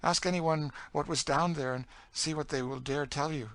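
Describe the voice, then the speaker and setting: A man's voice: fairly high pitch, steady tone, moderate pace, a bit bright, no room sound, voice pushed up. A man voicing a bitter, despairing, world-weary enslaved woman in an audiobook, with a dry, emphatic delivery. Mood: haunted, ominous. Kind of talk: dramatised audiobook reading of a classic novel.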